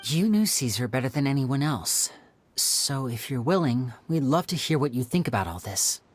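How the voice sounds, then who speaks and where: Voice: mid-range voice, big pitch swings, normal speed, slight background noise, lightly acted. An actor playing a polite, composed young male magician in a video game, courteous and reassuring as he explains things. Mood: polite, earnest.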